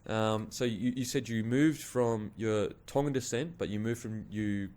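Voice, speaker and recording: A man's voice: fairly low pitch, unhurried, darkish tone. A man with an Australian accent hosting a casual interview, asking loose, friendly questions. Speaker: podcast host.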